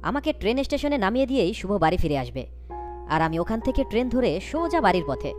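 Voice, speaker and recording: A woman performs scripted story narration and dialogue expressively. Her medium-pitched voice moves in a lively way, with clear music playing behind it. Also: quick pace. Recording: loud background music.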